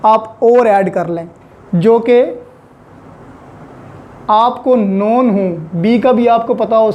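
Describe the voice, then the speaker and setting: A woman's voice: mid-range voice, warm tone. A woman with a Pakistani accent gives an explanatory lecture, repeating key points in a didactic way and mixing in English terms. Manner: mixes English terms and phrases into speech. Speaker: law lecturer. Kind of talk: explanatory lecture with worked examples.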